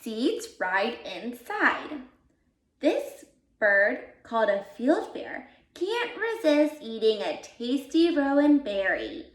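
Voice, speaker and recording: A woman reading a children's picture book aloud as a volunteer, with deliberate, expressive emphasis for young listeners. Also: on the high side. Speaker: volunteer read-aloud reader. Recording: roomy, echoey sound.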